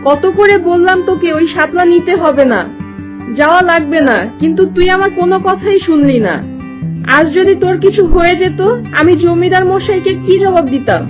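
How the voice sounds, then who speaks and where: A woman's voice: extremely high pitch, moderate pace, music in the background. A woman voicing an anxious, pleading young village girl, a loyal friend in a fairy-tale cartoon. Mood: scolding. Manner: pleading, fretful.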